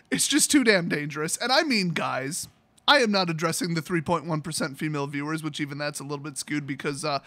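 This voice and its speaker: A man's voice: a little high, pushed and bright. A man hosting a casual comedic storytelling video, rambling and exclamatory in an amused way. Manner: amused, rambling, exclamatory, prone to breaking into laughter. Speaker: YouTube host.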